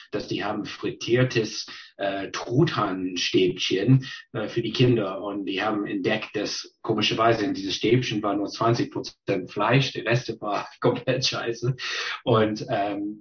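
A middle-aged Canadian man, a native English speaker and restaurant chef, speaking halting German full of fillers and restarts in a casual interview. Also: fairly low pitch. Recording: dull lo-fi sound.

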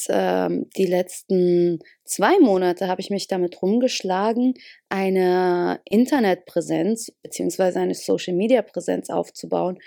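Slow, gravelly woman chatting casually. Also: mid-range voice, no room sound, studio quality. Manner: relaxed, rambling, many fillers. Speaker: podcast host. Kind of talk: casual spontaneous monologue. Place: bedroom, on her bed.

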